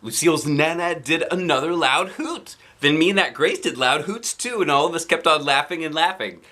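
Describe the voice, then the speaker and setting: A man reading a children's book aloud as a dad, with playful, expressive, theatrical delivery. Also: a little high, normal speed. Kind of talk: animated children's storybook narration.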